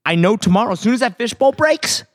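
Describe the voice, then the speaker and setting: A high-voiced man banters on a group podcast, swinging widely in pitch as he goes. He is joking and swears freely, recorded dry and close. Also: expressive, fast, no echo. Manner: profane, joking. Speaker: podcast host.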